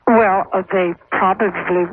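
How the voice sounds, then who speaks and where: An older woman from Louisiana calling a radio show, speaking in a halting, measured way. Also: mid-range voice, steady tone, no room sound. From Louisiana, USA. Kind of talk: phone-in conversation. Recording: muffled phone line on radio.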